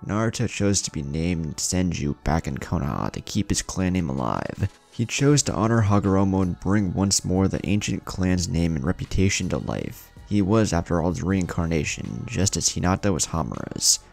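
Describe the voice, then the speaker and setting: A man's voice: deep voice, animated, moderate pace, gravelly, few pauses, a little mellow, faint music behind. A man reading scripted story narration as the narrator.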